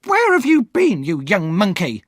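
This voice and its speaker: A man's voice: sits high, huge pitch swings, unhurried, no room sound, studio quality, voice pushed up, full character voice. A man voicing a bad-tempered older woman who scolds sharply and impatiently. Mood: scolding.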